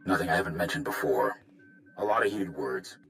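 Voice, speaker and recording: An actor playing a gruff, loyal Mandalorian warrior advisor in a video game, measured and blunt. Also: male voice, sits low, animated, fairly bright tone, soft background music. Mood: grave. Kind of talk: video game cutscene acting.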